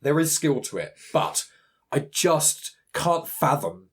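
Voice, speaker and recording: A man's voice: fairly high pitch, very animated, takes it slowly, husky. A British man hosting a podcast, chatting casually in an explanatory, opinionated way. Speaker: podcast host. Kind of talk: casual chat.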